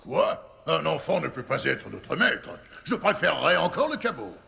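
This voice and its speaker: A man's voice: fairly high pitch, sing-song, normal speed, creaky, exaggerated voice. A man voicing a grumpy, scornful magical cartoon creature who grumbles at everyone. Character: grumpy magical male creature, sullen early-morning grouch. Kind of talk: dubbed cartoon acting. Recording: loud music under the voice.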